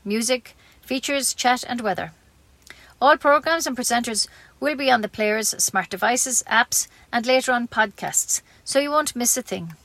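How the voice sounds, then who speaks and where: Higher-pitched Irish woman reading news. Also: a bit bright, no echo. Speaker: community radio newsreader.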